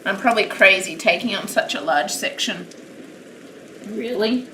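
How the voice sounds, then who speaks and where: Loud-voiced woman chatting in a roomy room. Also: medium pitch, normal speed. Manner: rambling, chatty, self-deprecating. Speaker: live-streaming craft hobbyist. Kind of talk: casual chat while crafting. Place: at home. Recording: roomy, distant mic, steady background noise.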